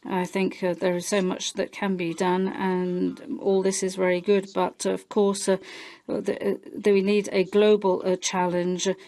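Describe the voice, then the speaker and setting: A woman giving a simultaneous interpretation of a committee speech, halting and full of filler sounds and restarts. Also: mid-range voice, completely flat delivery, dark tone. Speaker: parliamentary interpreter.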